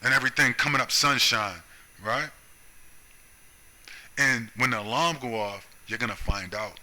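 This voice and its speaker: A man from the United States speaks in a hard, piercing tone at a middle pitch. He rants bluntly and profanely in a solo monologue, driving each point home with a repeated "right?" or "you see?". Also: moderate pace, bright, forceful tone. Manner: confrontational, profane, keeps tagging lines with "right?" and "you see?". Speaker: video commentator.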